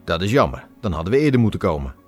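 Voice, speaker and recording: A man's voice: deep voice, very animated, rapid-fire, faint music behind. A man voicing a rude, impatient male circus-goer who talks crudely and irritably. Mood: disappointed.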